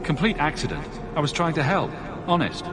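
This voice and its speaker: An actor playing a wry, defiant hero in a fantasy game, with a sardonic, quipping manner. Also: male voice, fairly high pitch, animated, a little fast, very noisy, lightly acted.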